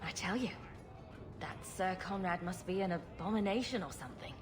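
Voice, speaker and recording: An actress playing a confident, sarcastic adventurer heroine in a fantasy video game, dry and joking. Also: female voice, on the low side, animated, normal speed, close to an everyday voice. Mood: mock-serious. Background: music.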